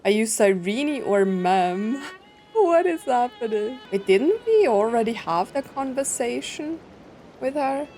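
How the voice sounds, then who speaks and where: Slow, chatty young woman reacting to a game. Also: fairly high pitch, big pitch swings, mellow. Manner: chatty, fills with "like" and "right". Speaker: gameplay streamer. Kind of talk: casual reaction commentary. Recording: voice over game music.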